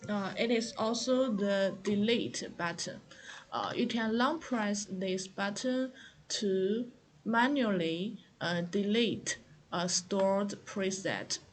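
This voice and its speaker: A woman giving an unscripted product tutorial, explaining step by step in a halting, hesitant manner. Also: medium pitch. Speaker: product demonstrator.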